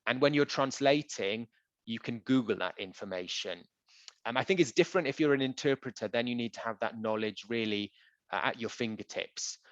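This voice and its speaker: A man who works as a translator, answering audience questions in a relaxed, conversational way with frequent ums and uhs. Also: mid-range voice, brisk, dry recording. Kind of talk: conversational Q&A presentation. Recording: dull, dry lo-fi audio.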